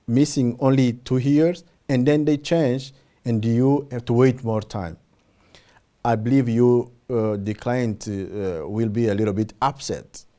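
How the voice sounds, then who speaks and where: A man whose first language is Portuguese, answering interview questions in English, hesitant with many pauses and fillers. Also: medium pitch, warm tone. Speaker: citizenship program representative.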